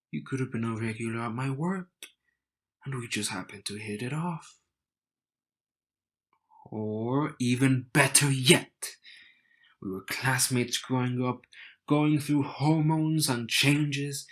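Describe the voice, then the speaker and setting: A man acts out a young betrothed man in an intimate audio roleplay. He talks slowly at a middling male pitch, wry and smug, with a bitter edge under the teasing. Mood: wistful. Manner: slow, teasing, smug, with sighs and soft chuckles. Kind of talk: intimate scripted audio roleplay addressed to the listener.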